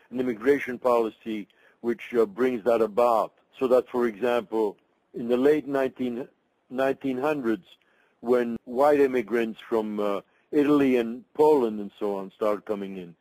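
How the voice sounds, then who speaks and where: An older man from Belgium, a migration researcher, answering interview questions in a thoughtful, halting way with many restarts. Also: medium pitch, darkish tone, dry recording, low-quality recording.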